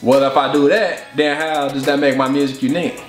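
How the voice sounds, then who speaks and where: A man's voice: fairly high pitch, fairly even intonation, fairly quick. A man in his twenties, a rapper talking casually and reflectively in an interview. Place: living room couch indoors. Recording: slightly roomy indoor sound, faint music behind.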